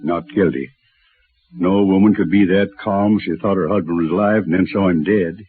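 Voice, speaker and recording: A man's voice: deep voice, normal speed, lightly acted. Wary frontier man on thin old radio actor. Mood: wary, grudging. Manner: blunt, folksy, suspicious. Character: plain-spoken, wary, gruff western stagecoach man. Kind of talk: 1950s western radio drama acting. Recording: old radio broadcast, narrow band, noisy.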